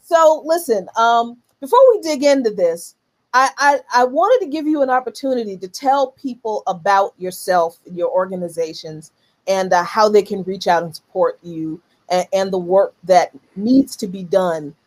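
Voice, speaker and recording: A woman's voice: mid-range voice, normal speed. A woman hosting a live current events talk show, speaking earnestly and repeating phrases for emphasis. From United States. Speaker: show host.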